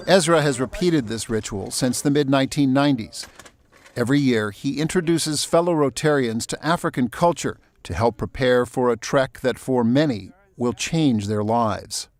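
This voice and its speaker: A man reading scripted documentary narration in a measured, even tone. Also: medium pitch.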